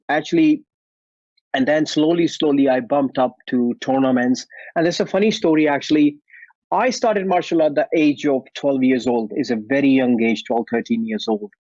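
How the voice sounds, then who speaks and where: A man's voice: mid-range voice, a little mellow, no echo. Friendly Indian-accented man, dull sound. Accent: Indian English. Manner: friendly, chuckling, loose grammar. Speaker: guest, martial artist and actor. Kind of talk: casual interview answers. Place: at home after a workout.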